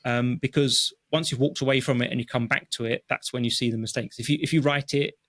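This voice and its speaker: A man speaking English as his first language, a guest explaining his writing process in a relaxed interview, with many fillers and restarts. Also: medium pitch, fast talker, dry recording. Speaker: guest, technical writer. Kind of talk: conversational interview. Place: home office.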